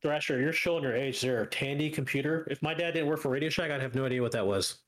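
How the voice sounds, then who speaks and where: A man's voice: medium pitch, quick pace, a bit bright. A man co-hosting a podcast in casual, rambling chat, teasing and drifting into asides. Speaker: podcast co-host. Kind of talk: casual chat with live chat banter.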